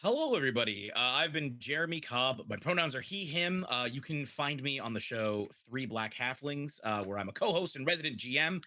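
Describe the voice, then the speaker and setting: Casting: enthusiastic male podcast co-host, forceful bright voice, lively swoops, dry close sound. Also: mid-range voice, a little fast, few pauses, dry recording. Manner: enthusiastic, informal. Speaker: podcast co-host and guest player. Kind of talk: casual chat and plugs.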